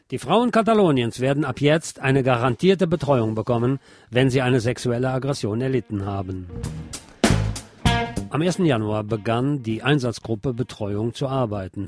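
A man's voice: mid-range voice, a little mellow. A man hosting a radio programme, reading scripted local news and adding his own commentary.

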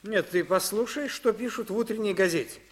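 A man's voice: sits high, a little fast, fairly bright tone. An actor playing an irritable, opinionated middle-aged French father in a 1950s radio play, grumbling and blustering. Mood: indignant.

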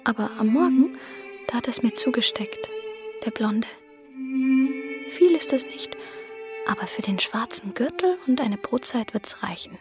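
High, breathy young Bavarian girl. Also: expressive, a little slow, pauses often, over music. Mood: dreamy, secretive. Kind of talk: radio drama monologue and dialogue.

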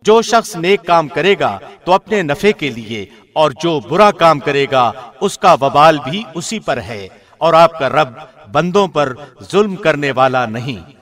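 Male translation reader, slightly high pitch, formal radio diction, measured scripted scripture narration. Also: lively intonation, fairly bright tone.

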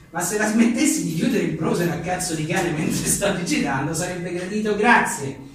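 A man reads comic one-liners aloud at a live book presentation, in a wry, joking way with offhand asides. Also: sits high. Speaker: journalist and author presenting his book. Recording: echoey room, distant mic, faint noise.

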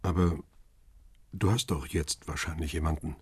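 A man playing a middle-aged, reflective photographer who narrates a radio crime drama in a restrained, husky voice. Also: rumbling low, fairly even intonation, moderate pace, no echo, heavily acted. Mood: probing. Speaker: narrator and lead character.

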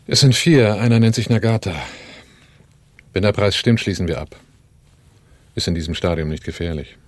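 A low, slightly rough male voice from an actor plays a shrewd arms dealer in a radio drama. He is courteous and businesslike, a formal salesman who keeps control of the deal. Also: moderate pace, natural voice. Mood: guarded, businesslike. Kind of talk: German radio drama acting.

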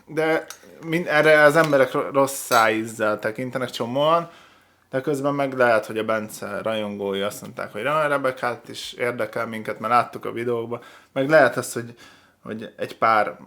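A man's voice: mid-range voice, pushed and bright. Casual man hosting a reaction show. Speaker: host of a video reaction show. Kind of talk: casual chat, video commentary.

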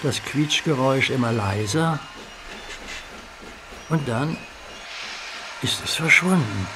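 Calm slow-paced male storybook narrator. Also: medium pitch, noisy background.

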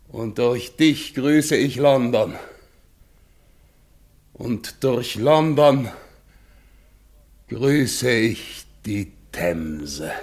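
An actor playing an exhausted, solemn polar expedition leader, reminiscing haltingly in a radio drama. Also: male voice, medium pitch. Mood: solemn, longing. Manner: halting, brooding, incantatory. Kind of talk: German radio play acting, dramatic monologue.

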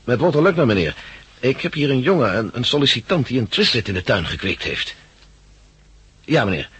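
An actor playing a brisk, authoritative head of research with a probing, businesslike manner in a 1960s Dutch radio drama. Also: male voice, medium pitch, big pitch swings, a bit bright, breathy edge, no room sound, full character voice. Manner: probing, businesslike, clipped questioning.

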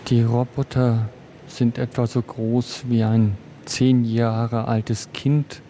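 A man's voice: mid-range voice, flat delivery, slow pace, dark tone. A man working as a reporter, describing what he observes on the spot. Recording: faint noise, location ambience.

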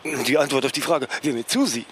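An actor playing a vain, cantankerous celebrity philosopher in a radio play, imperious and full of reproach. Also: male voice, mid-range voice, fairly quick, a bit bright, slight background noise, lightly acted. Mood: indignant. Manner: imperious, reproachful, verbal tags like "ne?". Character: vain, cantankerous celebrity philosopher, prickly about his mother. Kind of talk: German radio play dialogue.

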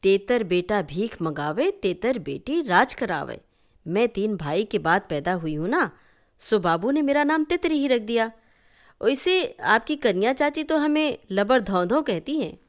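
A woman's voice: mid-range voice, big pitch swings, measured pace. A woman reading a story, voicing a talkative, giggly young village girl who proudly explains local sayings. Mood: cheerful, proud. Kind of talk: acted dialogue in a story reading.

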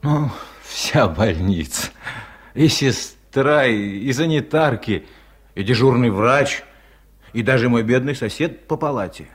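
A man's voice: mid-range voice, expressive, takes it slowly, husky. An actor playing an ailing, lonely middle-aged village man in a 1970s radio drama, speaking humbly and hesitantly, as if confiding. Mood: sad, confiding.

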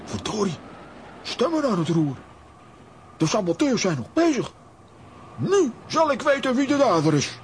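A man's voice: sits high, sing-song, unhurried, long pauses, a little hiss or hum, full character voice. A man playing a hot-headed, blustering comic adventurer in a children's radio play, theatrical and exclamatory. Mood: indignant, furious.

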